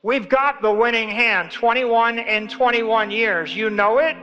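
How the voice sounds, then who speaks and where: Man, slow deliberate delivery, bright forceful tone, motivational speech, short emphatic phrases, reverberant hall. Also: very high-pitched. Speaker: speaker. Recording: echoey hall, dull sound, faint music.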